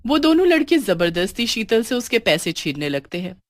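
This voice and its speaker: A woman's voice: on the high side, very animated, normal speed, no echo, studio quality. A woman storyteller giving scripted, dramatic narration of a horror tale.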